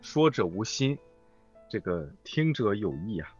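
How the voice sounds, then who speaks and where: A man's voice: on the low side, sing-song, measured pace. A man chatting casually as a guest answering quiz questions in an informal way. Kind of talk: casual chat. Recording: dull lo-fi audio with music behind.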